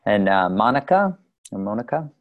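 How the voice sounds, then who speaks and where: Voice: mid-range voice, very animated, normal speed, highs cut off. A host patiently guiding people through introductions on a video call in a casual, instructive way. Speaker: host of an online group call.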